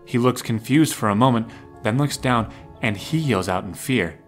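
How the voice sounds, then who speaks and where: A man's voice: medium pitch, animated, moderate pace. A man narrating a scripted audio drama in the present tense. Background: music.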